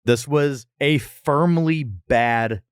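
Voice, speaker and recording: A man's voice: mid-range voice, very slow, clear, resonant tone, dry recording, clean studio sound. A man co-hosting a podcast in casual, playful, jokey review banter. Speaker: podcast co-host.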